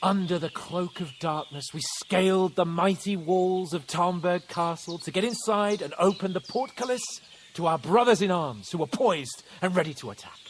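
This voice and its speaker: An actor playing a cocky young nobleman who jests and boasts in a lordly, quick-witted way, in a game cutscene. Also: sits high, a little hiss or hum, close to an everyday voice. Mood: bantering. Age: young adult. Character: cocky young male knight, joking and boastful. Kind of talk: video game cutscene acting.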